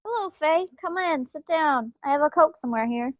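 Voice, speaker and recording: A woman playing a kind, gently chiding woman in an amateur play reading over a video call. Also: high voice, expressive, moderate pace, no room sound. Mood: welcoming. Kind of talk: amateur play table read. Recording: muffled dry video call.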